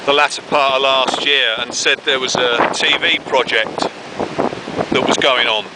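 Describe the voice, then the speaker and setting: A man's voice: mid-range voice, steady tone, unhurried. A man vlogging outdoors while trekking a wet, windy trail, chatting casually and rambling with many fillers. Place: outdoors on a windy rainy trail, later in woods. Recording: loud wind buffeting the mic. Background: loud wind noise.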